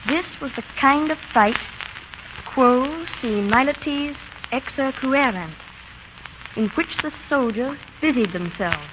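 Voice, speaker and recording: High-voiced, careful polite schoolboy. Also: female voice, lively intonation, slow pace, lo-fi sound. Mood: dutiful, careful. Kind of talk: 1930s radio drama acting. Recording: crackly, noisy old radio broadcast.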